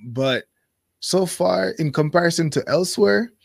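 A man in his thirties, a guest in a casual interview, speaking thoughtfully with many pauses and fillers. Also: fairly high pitch, expressive, gravelly, dry recording.

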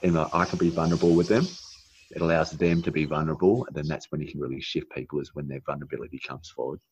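A man raised in Australia after a New Zealand childhood, speaking as an interview guest in an earnest, halting way with many fillers. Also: a bass voice, steady tone, darkish tone, highs cut off, slight background noise. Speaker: guest, naturopath. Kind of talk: casual interview chat.

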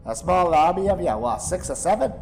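A man's voice: high-pitched, lively intonation, fairly quick. A man playing a brash, taunting crewman who faces off against a pack of attackers. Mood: cocky. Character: cocky male crewman fighting off attackers. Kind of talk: audio drama acting.

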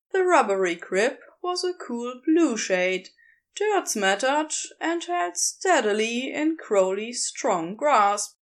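A woman reading a story aloud as narrator, in an expressive scripted style. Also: a little high. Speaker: podfic narrator.

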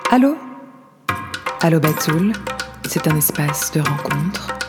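A woman's voice: deep voice, expressive, slow pace. A woman reading a scripted podcast introduction as its announcer. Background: loud percussive intro music.

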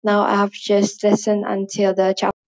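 Woman, Indonesian accent, non-native English, hesitant and rambling, mid pitch, dull lo-fi recording. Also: completely flat delivery, moderate pace, darkish tone. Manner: rambling, hesitant, many fillers. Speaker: listener leaving a voice message. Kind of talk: casual spoken voice message.

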